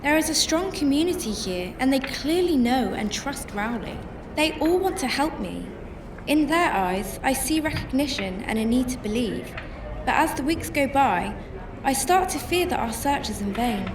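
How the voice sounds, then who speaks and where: A woman playing a grieving mother searching for her missing husband in a radio drama, with a halting, emotional delivery. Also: sits high, animated, noisy background. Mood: reflective, wistful. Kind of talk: radio drama acting and first-person narration. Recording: music under the voice.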